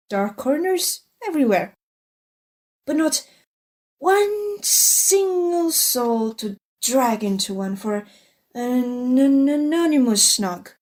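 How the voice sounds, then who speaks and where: An actress playing a bored, crude, lecherous lone broadcaster in an audio drama monologue, sardonic and theatrical. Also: female voice, a little high, a little mellow.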